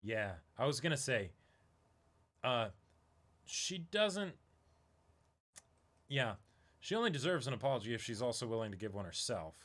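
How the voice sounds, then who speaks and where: A man's voice: mid-range voice, animated, normal speed, long pauses, fairly bright tone. A man talking casually and reacting as co-host of a dramatic reading. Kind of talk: casual reaction chat between read lines.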